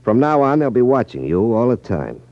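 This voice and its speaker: An American actor playing a cool, wry magician detective in a 1950s radio drama, with a teasing, unruffled manner. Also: male voice, mid-range voice, big pitch swings, normal speed, a little mellow, no echo, close to an everyday voice. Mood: assured, wry. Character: cool, wry magician detective who exposes gambling rackets. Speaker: lead actor in a detective series. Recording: muffled 1950s radio broadcast recording.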